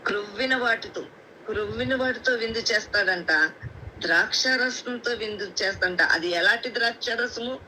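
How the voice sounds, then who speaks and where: A woman preacher with a very bright, forceful, high voice and a coastal Andhra accent. She explains scripture in a teaching manner, repeating phrases and putting questions to her listeners. Faint noise sits behind her on the call. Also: normal speed, low-quality recording. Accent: coastal Andhra Telugu. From Andhra Pradesh, India. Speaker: lay preacher. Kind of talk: Bible sermon on a group call.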